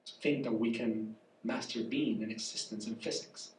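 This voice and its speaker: Congested Spanish-accented man, soft voice. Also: medium pitch, fairly even intonation. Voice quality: soft, weak voice. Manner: halting, searching for words, apologetic. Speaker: guest professor. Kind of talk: public conversation, reflective lecture talk. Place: café. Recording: echoey room from far off.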